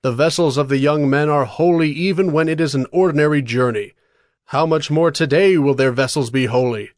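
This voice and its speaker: A man's voice: a little high, brisk, dry recording, studio quality, natural voice. A man playing an earnest, persuasive young warrior on the run in a dramatized Bible story, firm and formal.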